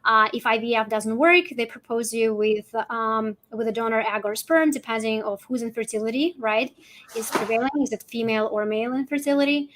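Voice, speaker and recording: A woman with a Russian accent and a somewhat high-pitched voice speaks as a guest coach in a conversational interview. She explains step by step, often punctuating her phrases with a checking "right?". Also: fairly even intonation, brisk, a bit bright, a little hiss or hum. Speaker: guest, holistic fertility coach.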